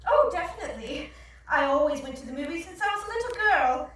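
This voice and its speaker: A woman on stage playing a brisk, snobbish, money-minded attorney on a date, heard from far off in a very echoey theatre. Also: high-pitched, expressive, a bit bright. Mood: polite interest. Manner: brisk, blunt, condescending. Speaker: actor in a staged reading. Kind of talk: stage dialogue. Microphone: far audience camera. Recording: very echoey theatre, distant mic, faint noise.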